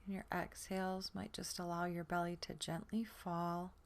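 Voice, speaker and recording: A woman leading a guided sleep meditation in a gentle, soothing manner, her soft voice often trailing into a breathy near-whisper. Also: fairly low pitch, flat delivery. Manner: soothing, gentle, unhurried with long pauses.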